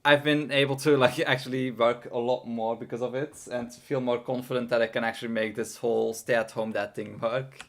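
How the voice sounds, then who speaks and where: A man's voice: medium pitch, fairly even intonation, moderate pace, vocal fry, few pauses, fairly bright tone. Tired-voiced man muttering while coding. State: voice worn and tiring. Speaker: livestreaming programmer. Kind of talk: casual live coding stream.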